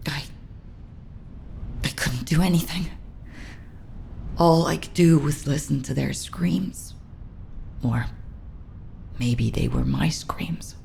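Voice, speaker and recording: An actress voicing a bitter, guilt-ridden military captain in a video game, with a low, gravelly voice. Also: female voice, slow pace, long pauses, mellow, a little hiss or hum, heavily acted. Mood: bitter, haunted. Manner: bitter, self-reproaching. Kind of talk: dramatic video game cutscene acting.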